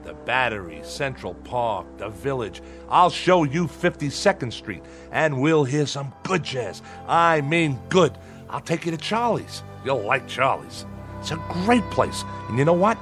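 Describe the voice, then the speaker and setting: A man acting in a radio drama as a humble, eager jazz trumpeter with informal, streetwise and halting talk. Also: medium pitch, big pitch swings, soft background music, natural voice. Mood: eager, smitten. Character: self-deprecating eager jazz trumpeter, smitten New York man.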